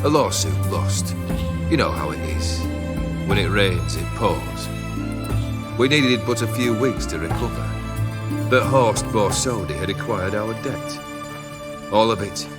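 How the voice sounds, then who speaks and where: Cursed nobleman, sardonic, slow, bitter, game music behind. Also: on the low side. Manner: sardonic, bitter, world-weary. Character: bitter, cursed male nobleman, world-weary. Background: game music score.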